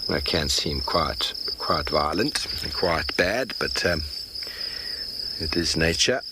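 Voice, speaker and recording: Male safari guide, very low voice, calm explainer, loud insect buzz all around. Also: slow. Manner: relaxed, explanatory, mild self-correction. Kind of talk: live nature commentary. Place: open game drive vehicle in the bush at dawn. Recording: loud outdoor insect noise. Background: loud insects and crickets.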